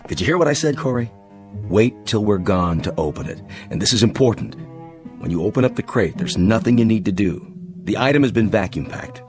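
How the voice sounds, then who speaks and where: An actor in a radio drama playing a grave, sympathetic spaceship captain who is guarded and dutiful. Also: male voice, fairly low pitch, expressive, brisk, close to an everyday voice. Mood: firm. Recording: music behind.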